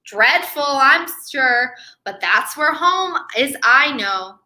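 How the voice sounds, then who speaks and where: A fussy, squawking mother gull from a storybook, as a woman puts her on, shrill and fluttery, with a bright tone and big leaps in pitch. She sounds dramatic. An echo hangs in the room. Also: expressive, slow pace, exaggerated voice.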